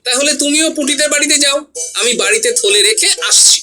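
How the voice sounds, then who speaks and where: A man playing a clever, genial court jester in a Bengali cartoon, brisk and helpful. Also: very high voice, sharp tone, exaggerated voice. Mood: cheerful, confident. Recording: music behind the voice.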